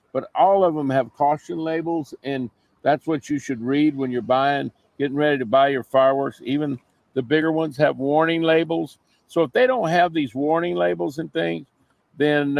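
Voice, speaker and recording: A man giving plain-spoken, slightly halting explanations as a fireworks seller in an informal TV interview. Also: medium pitch, creaky, no room sound.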